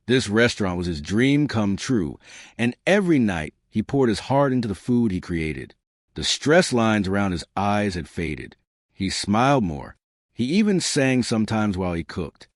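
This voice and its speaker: A man's voice: moderate pace, dry recording, clean studio sound. A man narrating a scripted story, lifting his voice for the characters' lines. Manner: lively storytelling, shifting pitch for quoted characters.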